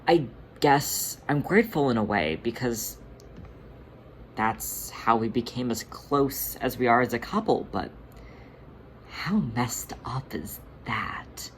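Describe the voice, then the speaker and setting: A performer voicing an earnest, worn-down male cat leader who speaks haltingly and vulnerably, in a storybook fan reading. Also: mid-range voice, lively intonation, a little hiss or hum, poor audio. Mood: tearful, fragile.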